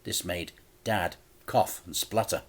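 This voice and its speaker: Slow, theatrical male children's storyteller. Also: sits low, steady tone. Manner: theatrical, dramatic delivery. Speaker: storyteller reading a children's book. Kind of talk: expressive scripted narration for children.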